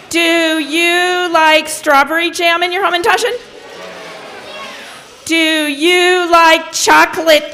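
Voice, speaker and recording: A woman's voice: very high-pitched, bright, forceful tone, a little hiss or hum. A woman leading a children's sing-along at a live event, talking and singing to the group. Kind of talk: animated talk and singing to children. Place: social hall, live event.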